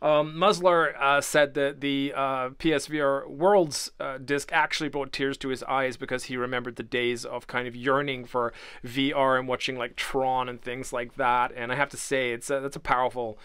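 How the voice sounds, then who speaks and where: Bright, raspy-voiced podcast man. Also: mid-range voice, flat delivery, normal speed, hardly any pauses, no room sound. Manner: chatty, laughs easily, many fillers. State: raspy voice from waking up. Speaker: podcast co-host. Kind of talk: casual podcast chat.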